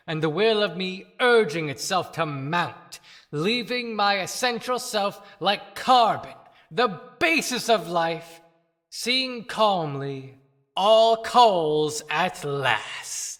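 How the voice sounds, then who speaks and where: A woman's voice: fairly low pitch, a bit bright. A woman playing a serene, reflective philosophical soul in a theatrical poetic audio monologue, with long reverb.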